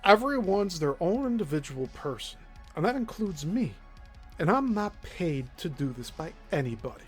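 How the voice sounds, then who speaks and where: A man's voice: sits high, very animated, moderate pace, soft background music. A man with a Midwestern accent hosting a live stream, commenting bluntly and with exasperation on clips he plays. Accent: Midwestern American. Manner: blunt, exasperated, laughs often. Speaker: show host.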